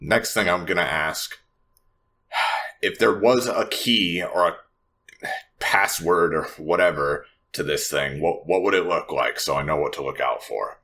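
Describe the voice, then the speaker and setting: Blunt, wary female adventurer played by a man: very low, bright-edged, halting, skeptical tabletop delivery. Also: heavily acted. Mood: determined. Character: Vex, blunt, wary, wisecracking woman.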